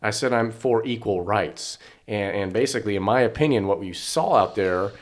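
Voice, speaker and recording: A hoarse man co-hosting a casual sports podcast, joking and rambling with lots of fillers. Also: on the low side, moderate pace. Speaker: podcast co-host.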